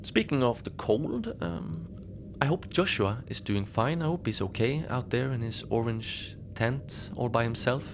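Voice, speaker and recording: A man playing a lonely, unbothered polar station keeper, telling strange tales in a halting, understated, conversational way for a fiction podcast. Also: medium pitch, creaky. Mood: worried, fond. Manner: halting, conversational, understated storytelling with small hesitations. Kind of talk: cozy horror audio fiction monologue. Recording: steady noise behind the voice.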